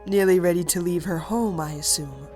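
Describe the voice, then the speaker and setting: A woman playing a smug, calculating dragon queen in an animated fantasy, speaking in a theatrical, mock-polite way. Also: fairly low pitch, normal speed, soft background music, lightly acted. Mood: mock-friendly. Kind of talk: animated fantasy drama acting.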